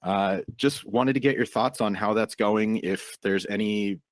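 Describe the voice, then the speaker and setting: A man's voice: on the low side, unhurried, dry recording. A male reporter asking a casual question on a press call, somewhat hesitant. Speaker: wrestling journalist asking questions.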